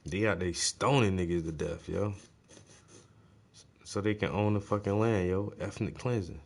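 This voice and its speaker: A man streaming his reaction to a news broadcast, talking loudly and excitably and swearing constantly. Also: low voice, moderate pace, gravelly. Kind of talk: casual profane reaction chat.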